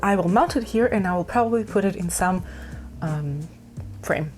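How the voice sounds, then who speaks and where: A woman's voice: on the low side, lively intonation, normal speed, a little mellow, faint music behind. A woman from Ukraine chatting casually to camera about her craft projects, rambling with many fillers and restarts. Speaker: craft vlogger.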